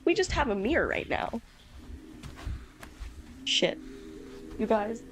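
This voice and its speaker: A woman's voice: on the high side, sing-song, takes it slowly, a little hiss or hum. A woman hosting a livestream, chatting casually in an informal, self-deprecating way with some swearing. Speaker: livestream host.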